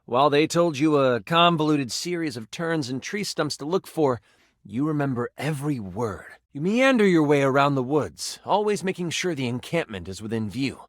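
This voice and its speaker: A theatrical man playing a game master narrates fantasy adventure in a highish male voice. The delivery is expressive and swings widely in pitch, savoring suspense, recorded close and dry for a video game. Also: no room sound, close to an everyday voice. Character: personified D20 die, male, theatrical, enthusiastic game master. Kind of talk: dramatic tabletop fantasy narration.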